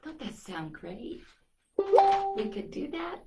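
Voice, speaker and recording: A woman's voice: medium pitch, sing-song, slow, vocal fry, over music. A woman reading a picture book aloud to children, telling it expressively and adding little asides to her young listeners. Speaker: children's storytime reader.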